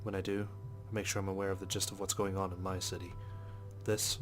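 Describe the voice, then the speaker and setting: An actor playing a dogged, skeptical male police detective in a visual novel game, speaking in a measured, serious, matter-of-fact way over music. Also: deep voice, little pitch movement, natural voice. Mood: brooding, resolute. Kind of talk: scripted visual novel narration and dialogue. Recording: music behind the voice.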